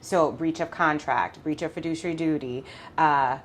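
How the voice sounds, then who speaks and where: A woman with a Jamaican accent, an attorney explaining law in a casual interview, talking quickly and laughing often. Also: low voice, a little hiss or hum. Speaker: family law attorney, podcast guest.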